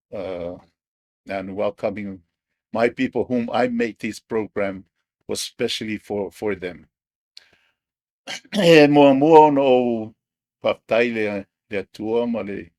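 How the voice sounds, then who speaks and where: A man hosting his own show, talking in an unhurried, halting way as he searches for words. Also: fairly low pitch, a little mellow, dry recording, clean studio sound. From Samoa. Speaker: podcast host. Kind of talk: casual solo commentary.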